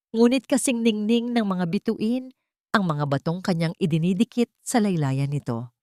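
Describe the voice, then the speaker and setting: A woman's voice: on the low side, darkish tone, dry recording, studio quality. A woman reading a dramatic story aloud as a scripted audiobook narration.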